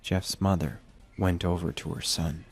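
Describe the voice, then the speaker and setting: A man's voice: sits low, steady tone, measured pace, a little mellow, slightly rough. A man giving scripted narration of a horror story as the narrator of an audio drama. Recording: music bed under narration.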